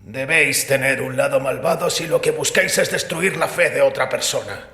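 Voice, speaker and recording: A man acting in a Spanish audio drama as a devout, stubborn priest, earnest and solemn, with a gravelly voice. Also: mid-range voice, moderate pace, bright, forceful tone. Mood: indignant. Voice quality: creaky, gravelly. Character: devout, defensive male priest, stubborn believer. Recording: echoey hall with long reverb.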